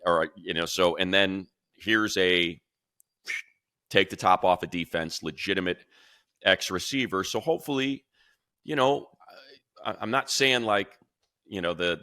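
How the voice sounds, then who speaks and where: A man co-hosting a sports talk show as a radio play-by-play announcer, energetic and rambling, talking in a studio. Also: no echo. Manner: energetic, rambling, mimics fans in a high voice.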